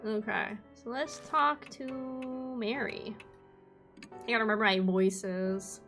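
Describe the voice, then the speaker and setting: A woman's voice: on the high side, fairly even intonation, takes it slowly, fairly bright tone. A woman streaming a game live who chats casually, jokes and laughs often. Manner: chatty and joking, laughs often. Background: game music.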